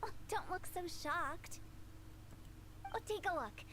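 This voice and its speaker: A high, bright, needling voice of a mischievous young funeral director for a video game. She teases and wheedles with a sly, theatrical lilt. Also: takes it slowly, a crowd in the background. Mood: teasing. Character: playful, mischievous young woman running a funeral parlor. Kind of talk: game voice acting.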